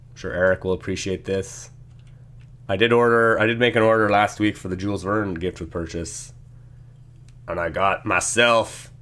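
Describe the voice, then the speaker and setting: A man with a hoarse, congested voice from a sinus infection, casually chatting through a live stream while building a set and reading the chat. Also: on the low side, a bit bright. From Canada. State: losing his voice from a sinus infection.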